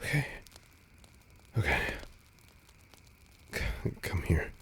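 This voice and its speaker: A man playing a nervous, tender vampire in an intimate audio roleplay, speaking softly and hesitantly to the listener as he resists his hunger. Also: drawn-out words, a little hiss or hum. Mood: hesitant, caring. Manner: hesitant, stammering, soft-spoken.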